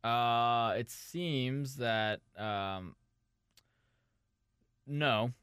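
A man rambles through absurd jokes as a podcast co-host. His voice is bright and forward, recorded close and dry with no room sound. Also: mid-range voice, extremely slow, smooth, no room sound. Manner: jokey, improvises silly bits. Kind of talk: casual comedic chat.

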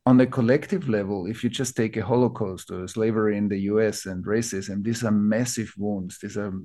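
A man from Austria with an Austrian accent, a native German speaker, explaining ideas reflectively as an interview guest, building his thoughts in short, halting phrases. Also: on the low side, steady tone, dark tone. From Vienna, Austria. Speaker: interview guest, teacher of collective trauma healing.

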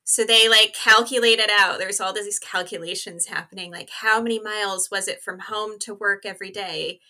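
A woman with an extremely bright, sharp voice at an average female pitch. She is a podcast guest telling true-crime cases casually, explaining step by step in loose, chatty phrasing. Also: steady tone, moderate pace. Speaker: podcast co-host as interview guest. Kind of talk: casual conversational case storytelling.

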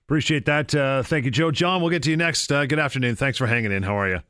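A man co-hosting a radio call-in show, who briskly thanks callers and brings on the next one. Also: mid-range voice, sharp tone, clean tone. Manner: brisk, upbeat call handling. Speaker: radio co-host. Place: radio studio.

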